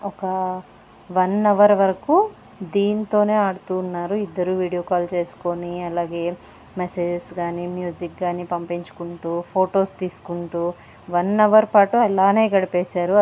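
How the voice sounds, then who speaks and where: A woman's voice: lowish voice, warm tone, dull audio, slight background noise. A woman giving a casual product review voice-over, explaining things in simple, repeated steps. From India. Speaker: product review vlogger and parent.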